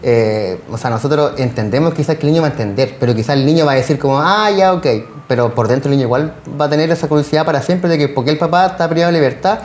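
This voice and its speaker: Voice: mid-range voice, racing, hardly any pauses, a little mellow. A social worker with a Chilean accent, explaining casework conversationally as an interview guest. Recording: roomy echo, faint background noise.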